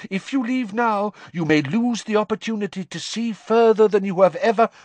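A man's voice: sits high, moderate pace, no echo, pitched above the performer's own voice, natural voice. A man reading an audiobook and voicing a theatrical older female seer with a dramatic, far-off manner. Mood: mystical.

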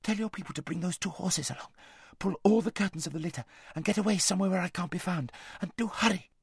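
A fierce young noble girl from a storybook, played by a man, urgent and serious. The voice is raised in pitch and kept hushed and breathy, hissing with intensity. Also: big pitch swings, moderate pace, no echo, clean studio sound, exaggerated voice. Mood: urgent pleading. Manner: hissed, breathy urgent whispering. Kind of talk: audiobook character voice.

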